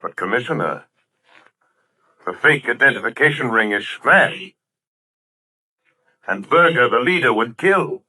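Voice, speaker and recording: An actor playing a mysterious, commanding crime-fighting avenger in an intense, emphatic 1930s radio drama style. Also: male voice, medium pitch, expressive, unhurried, pauses often, sharp tone, slightly rough, full character voice. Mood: solemn. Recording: vintage 1930s radio broadcast.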